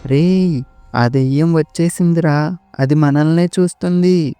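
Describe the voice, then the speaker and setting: A man's voice: fairly high pitch, unhurried, warm tone, clear, resonant tone, no echo, lightly acted. A man playing a young guy on a haunted-house dare in a horror cartoon, colloquial and urgent. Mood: nervous. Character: two young male friends on a haunted-house dare, jittery. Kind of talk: horror cartoon story dialogue. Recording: music behind the voice.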